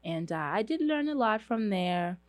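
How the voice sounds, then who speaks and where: A woman's voice: medium pitch, very animated, normal speed, no echo. A young adult woman from Uganda, hosting a solo podcast about herself, speaking earnestly with frequent fillers and restarts. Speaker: podcast host.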